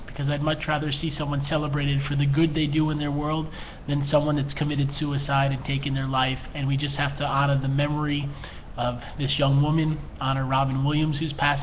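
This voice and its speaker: A man speaking as a mental health educator in an earnest, heartfelt personal talk, emotional and slightly tearful as he pleads with the listener. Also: a little high. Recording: dull lo-fi sound, faint background noise.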